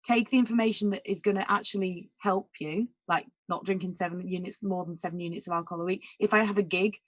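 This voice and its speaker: Woman, jazz singer, naturally husky voice, candid and chatty with fillers, dry close sound. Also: lowish voice, steady tone, fast talker, no echo. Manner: candid and emotional, many "like" and "you know" fillers. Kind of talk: casual interview conversation.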